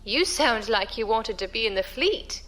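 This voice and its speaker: A woman's voice: fairly high pitch, lively intonation, moderate pace, pushed and bright. An actress playing an earnest, tender-hearted female passenger among space pirates in a science fiction audio drama. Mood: earnest, determined.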